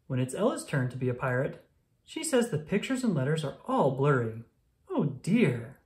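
A woman narrates a picture book for young children. Her voice sits low for a woman, with lively rises and falls, and she paces the sentences clearly. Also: a little fast. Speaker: storybook reader. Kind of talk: children's read-aloud narration.

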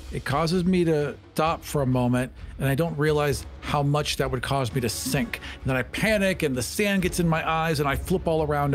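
A man casually improvising his character's moves as a player in a tabletop game. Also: on the high side. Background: music.

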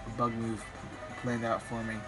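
A slightly raspy young man, a bit sick, commentating his online game battle in a rambling, hesitant way with many ums. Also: mid-range voice, monotone, normal speed. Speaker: gaming YouTuber. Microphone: phone filming a screen. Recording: music playing behind.

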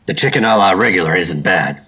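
A man's voice: sits low, animated, brisk, sharp tone, husky, full character voice. An American man acting a terse, stubborn 1950s secret operative in a radio drama, with a dry, matter-of-fact delivery. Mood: dry, skeptical.

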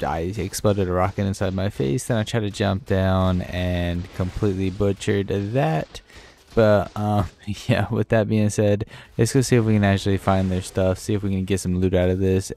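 A young man with a hoarse, dry throat, giving rambling, casual commentary over his gameplay. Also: low voice, fairly even intonation, moderate pace, warm tone, slight background noise. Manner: rambling, loose, filler-heavy. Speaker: gaming YouTuber.

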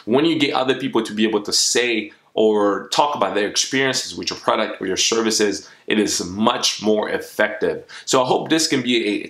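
A man's voice: lowish voice, a bit bright. Upbeat young man from Congo, roomy sound. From Democratic Republic of Congo. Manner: upbeat, encouraging, speaks straight to the viewer. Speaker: motivational YouTuber. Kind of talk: direct-to-camera advice vlog. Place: small room at home, indoors. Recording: echoey small room.

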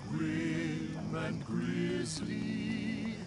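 Slow, menacing female singer played by a performer over music. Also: low voice. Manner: drawn-out, sung delivery. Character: menacing singer gleefully promising gory death. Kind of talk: slow, menacing theatrical song from a game soundtrack. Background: loud music.

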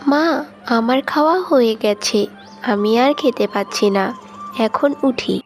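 A woman's voice: fairly high pitch, a little slow, soft background music, natural voice. A woman voicing a sweet, fretful young village daughter in a fairy-tale cartoon, childlike and pleading. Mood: disappointed.

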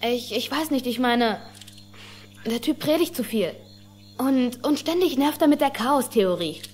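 High-voiced, frank young boy played by a woman. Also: animated, a little slow, a little hiss or hum. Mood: frank, curious. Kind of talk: German film dubbing dialogue.

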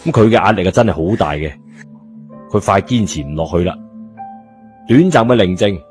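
A man's voice: low-pitched, lively intonation, moderate pace, darkish tone. A man with a Cantonese accent narrating an audiobook in colloquial storytelling style. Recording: background music under the voice.

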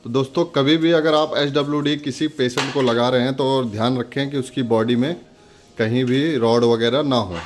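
A man's voice: mid-range voice, fairly even intonation, moderate pace, a little hiss or hum. An Indian man giving a casual step-by-step product demonstration, instructing viewers in a friendly, direct address.

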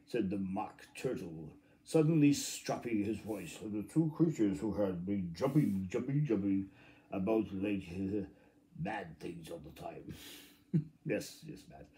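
A man in his fifties reading a children's story aloud in a halting, rambling, theatrical way, stumbling over words and repeating them. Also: lowish voice, animated, warm tone, rough recording. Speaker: amateur storybook reader. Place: living room at home, indoors. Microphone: phone at arm's length.

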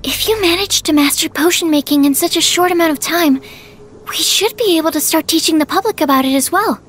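An extremely high, girlish-pitched young female alchemist from a video game. She is polite, bookish and earnest, explaining in a careful, precise way. Music plays behind her voice. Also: brisk, a bit bright. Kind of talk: video game dialogue acting.

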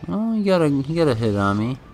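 A man's voice: fairly high pitch, very animated, quick pace, noisy background. A man giving casual, offhand, rambling commentary on a video game. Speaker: gameplay commentator.

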